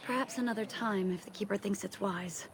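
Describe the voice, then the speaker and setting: A young female elf played by a woman speaks politely and wistfully at a medium pitch in a fantasy game. Clear outdoor noise surrounds her quiet voice. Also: fairly quick, natural voice. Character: curious, deferential young female elven apprentice.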